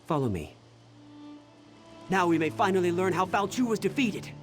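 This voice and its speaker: Excitable, fussy scholar mage, swooping pitch. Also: male voice, moderate pace. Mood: eager. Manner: fussy, theatrical, musing aloud. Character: excitable, self-important male mage scholar, bumbling yet eager. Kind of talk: video game character acting. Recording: music behind the voice.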